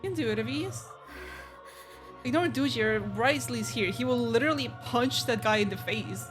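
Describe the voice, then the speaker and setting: A woman's voice: fairly high pitch, moderate pace. A woman streaming a game, giving casual, informal live reactions and bluntly talking back to the characters. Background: game music.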